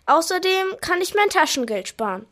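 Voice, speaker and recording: A high, childlike girl's voice acted by a woman in a children's radio play. She is eager and pleading, insisting like a nine-year-old with her heart set on something. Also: big pitch swings, normal speed, a bit bright, full character voice. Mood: insistent.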